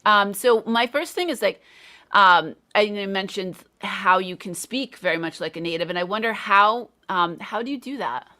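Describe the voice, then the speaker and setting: A woman in her thirties hosting a live online interview, speaking in an encouraging, measured way. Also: mid-range voice, bright, forceful tone. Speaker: host.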